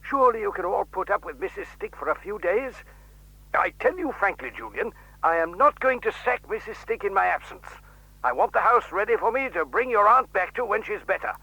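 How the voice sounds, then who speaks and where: An actor playing a strict, stern uncle speaking firmly and finally over the telephone. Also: male voice, high-pitched, lively intonation, a little fast, sharp tone, no room sound, full character voice. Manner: firm and final. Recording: tinny, breathy telephone line.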